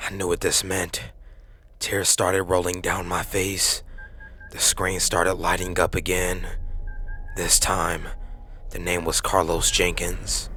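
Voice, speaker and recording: A man's voice: deep voice, sharp tone. A man reading scripted first-person horror narration as a podcast narrator. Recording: faint background noise.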